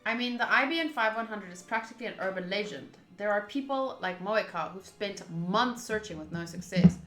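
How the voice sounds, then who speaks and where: A woman hosts a game playthrough in a bright voice, chatting and thinking aloud as she goes. She slips between commentary and reading text. The room is echoey, with music in the background. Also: medium pitch, brisk. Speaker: host of a visual novel playthrough. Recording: roomy echo, game music behind.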